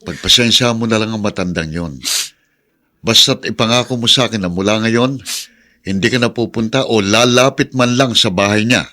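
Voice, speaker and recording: A man playing a patient father in a radio drama, gently admonishing and reassuring his child. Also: on the low side, moderate pace. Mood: consoling. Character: patient, consoling father of a young boy. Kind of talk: Filipino radio drama acting.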